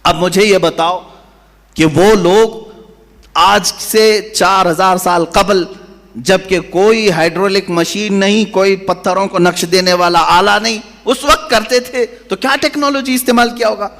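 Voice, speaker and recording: A man's voice: sits high, fairly even intonation, fairly bright tone, long reverb tail. A man delivering a sermon as a preacher, with impassioned oratory that rises and falls. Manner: impassioned, rising and falling oratory.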